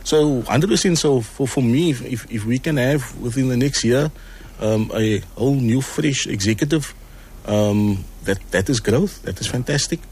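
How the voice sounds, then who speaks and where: Earnest man, halting speech. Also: medium pitch, darkish tone, slight background noise. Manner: earnest, repeats words, many ums. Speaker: choir executive. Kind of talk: casual interview chat.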